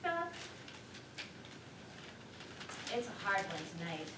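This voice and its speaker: An actress on stage playing a scatterbrained, chatty wife, dithering yet sure of herself, with emphatic theatrical delivery. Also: female voice, medium pitch, sing-song, fast, natural voice. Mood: puzzled, insistent. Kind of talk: live one-act stage play acting. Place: theater stage, picked up from a distance. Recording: roomy, distant, noisy theater recording.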